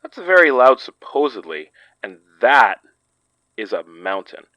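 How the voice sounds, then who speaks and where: A man's voice: mid-range voice, big pitch swings, unhurried, pushed and bright, dry recording, dull audio. A man playing an easygoing, wry investigator of weird events in a horror audio drama, speaking casually. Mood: joking.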